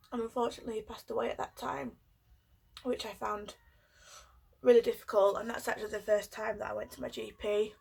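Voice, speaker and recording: Twenties yorkshire girl with a cold, telling her story, halting with lots of ums. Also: medium pitch, vocal fry, darkish tone, slightly rough. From Yorkshire, England. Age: 20s. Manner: hesitant, many ums and restarts. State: has a cold, coughing. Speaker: vlogger. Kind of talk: personal story vlog.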